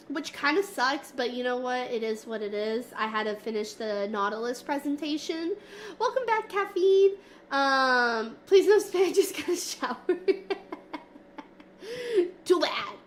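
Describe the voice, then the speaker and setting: A high-voiced woman streaming live, nasal and a little stuffy from a cold. She talks at an unhurried pace, playful and giggly with her chat. Faint noise hums under her desk mic. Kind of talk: casual livestream chat with viewers. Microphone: desk mic, moving closer and farther.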